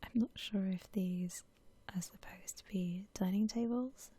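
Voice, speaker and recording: A woman whispering softly in a gentle, unhurried, musing way, as in ASMR commentary on a game she is playing. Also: mid-range voice, no room sound. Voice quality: soft breathy whisper. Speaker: gaming ASMR host.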